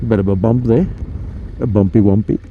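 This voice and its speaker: A man's voice: lowish voice, steady tone, a little fast, narrow, tinny audio. A South African man chatting casually and rambling as he rides his motorbike through town, recorded on a helmet mic outdoors. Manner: rambling, chatty, with fillers and playful rhymes. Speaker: motovlogger. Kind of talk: casual motovlog chat while riding. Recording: noisy ride with road and wind noise.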